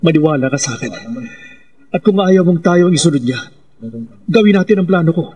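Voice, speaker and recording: Husky, higher-pitched desperate father, urgent conspirator, commanding, horror radio drama. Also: expressive, brisk, dark tone. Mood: grim insistence. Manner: insistent, commanding.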